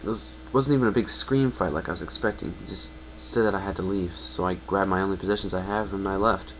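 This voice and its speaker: A man voicing a downcast, self-blaming young male pegasus, telling his story in an earnest, halting way. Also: low voice, fairly bright tone, slight background noise, low-quality recording.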